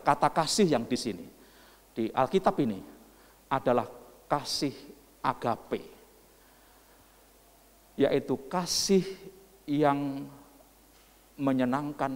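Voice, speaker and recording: Pastor preaching in a reverberant hall. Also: male voice, mid-range voice. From Indonesian village. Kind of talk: sermon with congregational interaction. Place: church hall before a congregation. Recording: long reverberant hall echo.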